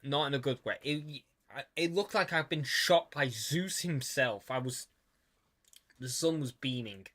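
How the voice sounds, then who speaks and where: A man's voice: medium pitch, a little slow, a bit bright. A young man, a student filmmaker, commenting casually on his own film, stuttering and restarting often and swearing now and then.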